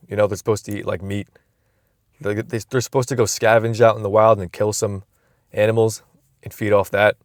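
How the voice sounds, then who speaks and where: A man's voice: lowish voice, dry recording, clean studio sound. A young man vlogging from inside a car, musing aloud in a loose, rambling way with frequent restarts, recorded on a phone camera. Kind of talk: casual rambling vlog.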